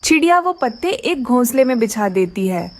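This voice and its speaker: High, lively woman storyteller. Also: normal speed, no room sound. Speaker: narrator. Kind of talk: scripted storybook narration.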